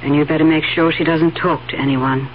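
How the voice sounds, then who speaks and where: A woman's voice: deep voice, steady tone, brisk, lightly acted. Actress as a greedy conspiring woman, muffled radio. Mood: greedy, wary. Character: greedy, wary woman conspiring over money. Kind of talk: 1970s radio drama acting. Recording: dull old radio recording, faint hum.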